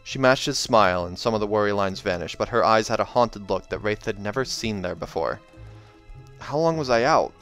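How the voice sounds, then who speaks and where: A man with a fairly low voice reads a fantasy novella aloud as host, putting expression into the narration and dropping in casual asides. Light game soundtrack music plays behind him. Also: fairly quick, few pauses, fairly bright tone. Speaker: host reading aloud and reacting. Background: video game soundtrack music.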